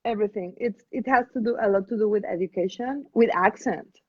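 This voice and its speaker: A woman from Mexico with a Mexican accent, a guest in a casual conversation, pausing to search for her words. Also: medium pitch, husky, no room sound, highs cut off. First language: Spanish. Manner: thoughtful, searching for words with fillers.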